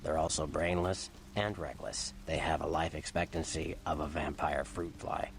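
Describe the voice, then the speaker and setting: An actor playing a seedy, cynical vampire informant in a video game, sardonic and streetwise in manner. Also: male voice, steady tone, normal speed, slight background noise. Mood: scornful, explaining. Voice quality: gravelly, deep.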